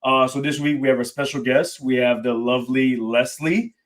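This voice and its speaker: A man co-hosting a casual podcast, talking sports and debate in an animated way and saying "bro" a lot. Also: medium pitch, normal speed. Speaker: podcast co-host.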